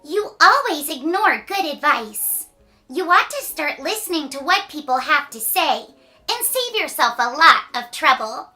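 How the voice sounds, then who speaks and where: A woman's voice: high voice, very animated, a little slow, bright, forceful tone, faint music behind, voice pushed up, heavily acted. A woman voicing a sunny little lady from a children's storybook who gives earnest, insistent advice. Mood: earnest, scolding.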